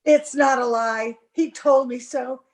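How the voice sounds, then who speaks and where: An actress playing a proud, lovestruck young gentlewoman in an old-time radio drama, with an emphatic theatrical delivery. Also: high voice, steady tone, a little slow. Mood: defiant, accusing. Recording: remote video-call recording.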